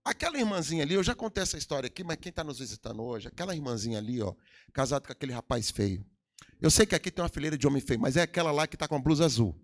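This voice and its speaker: A man's voice: fairly high pitch, lively intonation, racing. A middle-aged Brazilian man with a Brazilian Portuguese accent, preaching a sermon in a church with emphatic swells and sudden drops. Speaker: pastor. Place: church hall.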